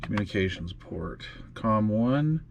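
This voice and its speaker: A man casually walking through radio software in a makeshift home studio, often trailing off and muttering to himself as he tinkers. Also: lowish voice, animated, measured pace, gravelly, dull audio, a little hiss or hum. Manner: muttering to himself while fiddling with gear, many fillers. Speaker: radio hobby video host. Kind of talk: casual hands-on demonstration.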